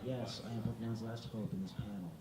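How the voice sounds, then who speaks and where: A man on a fan convention panel who is losing his voice and speaks quietly and sheepishly. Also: lowish voice, steady tone, quick pace. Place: large convention hall with audience. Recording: echoey hall, crowd noise.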